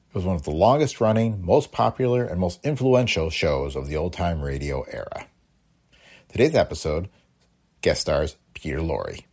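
A man's voice: low voice, darkish tone, breathy edge. A man reading a scripted podcast introduction as its host. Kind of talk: scripted narration.

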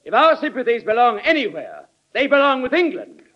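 An actor playing a combative, sharp-tongued cabinet secretary making speeches in old-time radio drama style. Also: male voice, extremely high pitch, sing-song, brisk, heavily acted. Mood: oratorical. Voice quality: oratorical. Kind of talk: 1940s radio acting. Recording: muffled old radio recording.

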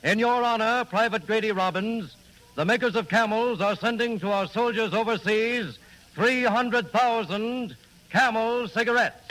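A male announcer from 1940s radio. He reads formally in a high voice for a man, earnest and declamatory, as on an old broadcast. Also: unhurried, noisy background.

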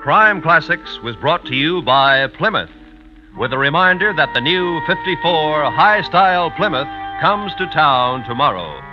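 A man's voice: fairly high pitch, sing-song, unhurried. A man reading scripted credits and announcements in the style of a 1950s network radio announcer. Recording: dull vintage radio recording with music.

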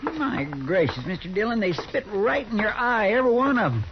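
A fretful, folksy deputy sidekick. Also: male voice, high-pitched, sing-song, normal speed. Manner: folksy drawl, eager and fretful. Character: loyal, anxious male deputy sidekick. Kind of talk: 1950s radio drama acting. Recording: dull hissy 1950s radio broadcast.

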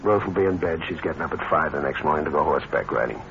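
An actor with an American accent playing a smooth, cold-blooded schemer in a vintage radio crime drama, in terse hard-boiled slang. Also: male voice, extremely low pitch, expressive, racing, fairly bright tone, heavily acted. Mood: coolly calculating. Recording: muffled, noisy old radio recording.

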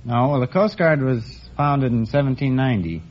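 A man's voice: medium pitch, lively intonation, normal speed. A man in his twenties, a quiz contestant giving brief, earnest answers. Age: 20s. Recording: dull old broadcast with background noise.

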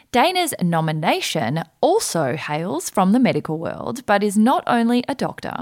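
A woman hosting an interview podcast, talking brightly and laughing often. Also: lowish voice. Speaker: podcast host. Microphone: remote recording, some muffled spots.

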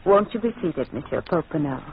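A woman's voice: low voice, expressive, brisk. An actress in an old-time radio drama playing a haughty, aggrieved noblewoman with an imperious delivery, on a tinny, noisy recording. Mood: formal. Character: haughty, aggrieved, demanding marquise.

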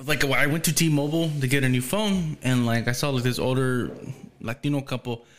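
A man in loose podcast chat, his voice sitting at an ordinary middle pitch. He rambles along in slang, stacking fillers and restarting his sentences. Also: a little fast. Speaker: podcast host. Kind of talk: casual chat.

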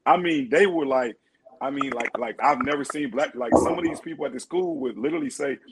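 A man in casual group chat, telling animated stories. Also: medium pitch, a little fast, very noisy. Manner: animated storytelling, acts out quoted voices.

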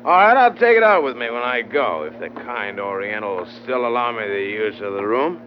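A man's voice: mid-range voice, very animated, brisk, lightly acted. An actor playing a surly, belligerent man in an old radio drama, touchy, curt and threatening. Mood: indignant. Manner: curt, combative. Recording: muffled, noisy old radio recording.

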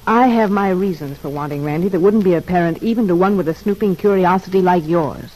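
A woman's voice: on the low side, a little fast, a little mellow, lightly acted, low-quality recording. An actress playing a scheming, short-tempered young woman in prison, with a curt, defensive manner, in an old-time radio drama. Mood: defensive, calculating.

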